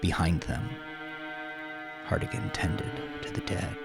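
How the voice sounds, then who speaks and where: A man's voice: takes it slowly, loud music behind the voice. A man narrating crime fiction as a dramatic scripted audiobook.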